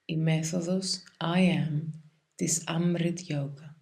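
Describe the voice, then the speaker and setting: A woman guides a meditation in a calm, soothing, measured way, leaving pauses between phrases. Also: low-pitched, creaky. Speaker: yoga nidra meditation guide.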